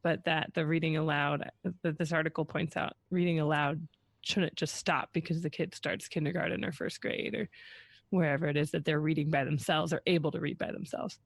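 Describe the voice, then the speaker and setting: Higher pitched guy chatting on a podcast, very dry close sound. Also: steady tone, a little fast, creaky, no room sound. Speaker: podcast co-host. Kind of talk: conversational podcast discussion.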